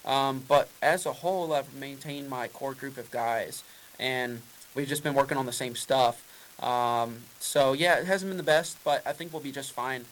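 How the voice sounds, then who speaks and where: A man's voice: medium pitch, fairly even intonation, normal speed, rough recording. A man, a race car driver, answering press questions in a casual, rambling way with many fillers and restarts.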